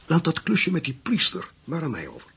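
An actor playing a cold, calculating hitman who can turn smoothly polite, in a Dutch radio play. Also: on the high side, huge pitch swings, fairly quick, a bit bright, exaggerated voice. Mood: cold, businesslike. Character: cold, calculating male hitman posing as pious convert. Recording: radio play with faint background noise.

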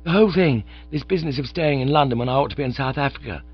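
A man voicing an excitable young gentleman who talks in a breezy, hurried, chummy way. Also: mid-range voice, husky, pitched above the performer's own voice, close to an everyday voice. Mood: eager. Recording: slightly dull lo-fi recording.

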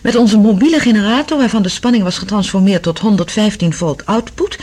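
A woman's voice: mid-range voice, a little fast, darkish tone, a little hiss or hum, lightly acted. An actress playing a curious, affectionate woman explorer in a Dutch science fiction radio drama, with an inquisitive, careful delivery. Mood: reading, attentive.

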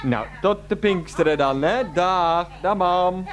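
A man's voice: sits high, slow, over music. An actor playing an exasperated adult son in a radio play, pleading and placating on the phone with his mother. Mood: placating, irritated. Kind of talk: radio play acting, one-sided phone conversation.

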